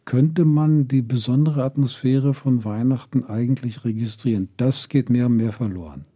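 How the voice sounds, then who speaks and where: Slow, deliberate older man with a dark voice. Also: mid-range voice. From Israel. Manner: slow and deliberate, many hesitations. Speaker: guest. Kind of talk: reflective interview answers.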